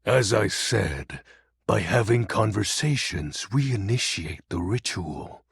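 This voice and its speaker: A husky low dark shadow lord for a video game, super melodramatic and dorky grandiose, pitch jumps all over. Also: male voice, expressive, slow pace, no room sound, clean studio sound, exaggerated voice. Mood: proud, pompous. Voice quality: gravelly, husky. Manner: pompous, theatrical, archaic grandiose phrasing. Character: melodramatic brooding Lord of Shadows, personified darkness, secretly dorky. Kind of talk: video game character voice acting.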